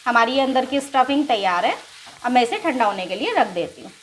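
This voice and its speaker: A woman's voice: fairly high pitch, animated, fairly quick, a little hiss or hum. A woman hosting a cooking channel, patiently explaining each step of a recipe to viewers in her home kitchen. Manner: patient, instructive, addresses viewers as friends. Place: home kitchen with gas stove.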